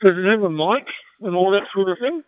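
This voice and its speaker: A man's voice: sits high, expressive, fairly quick, slight background noise. A man chatting casually and informally in a matey way as an amateur radio operator, over a digital two-way radio link. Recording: tinny narrowband digital radio transmission.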